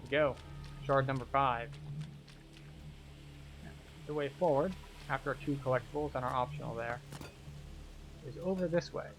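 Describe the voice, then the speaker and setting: A man's voice: mid-range voice, moderate pace, long pauses. A man giving live gameplay commentary as a tour guide, explaining things step by step. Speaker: game streamer and tour guide.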